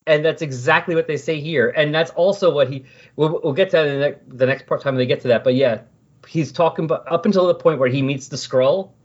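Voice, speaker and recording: A man's voice: a little high, brisk. A man in a casual comic book discussion on a podcast, sometimes reading dialogue aloud. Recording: dull, lo-fi voice recording.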